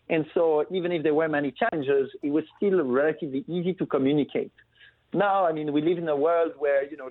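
A man from Belgium, a guest on a business talk show, speaking over the phone in a casual, fluent way with many fillers. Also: a little high. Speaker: guest, family business founder. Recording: tinny phone line with faint noise.

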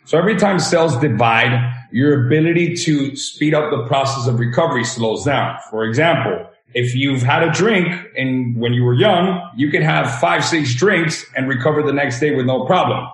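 A man's voice: mid-range voice, normal speed, few pauses. Earnest thirties man from Venezuela. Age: 30s. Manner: explanatory, earnest, leans on examples. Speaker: guest health practitioner. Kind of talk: interview answers. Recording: roomy echo.

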